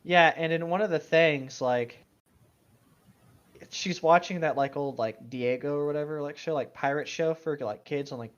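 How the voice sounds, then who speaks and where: Man with a slightly high, offhand voice. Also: normal speed, dull audio. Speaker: co-host in a gaming chat. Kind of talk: casual chat.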